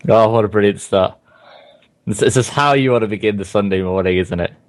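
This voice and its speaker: A young man with a hoarse, strained voice, casting an online game match in a rambling, filler-heavy way. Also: lowish voice, brisk. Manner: rambling, many fillers and restarts. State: losing his voice. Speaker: esports caster. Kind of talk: casual game commentary.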